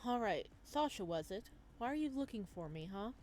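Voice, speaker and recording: A woman's voice: mid-range voice, sing-song, moderate pace, darkish tone, slight background noise, lightly acted. A woman voicing a tall, dismissive, guarded game character with a brusque, judgmental manner. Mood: suspicious.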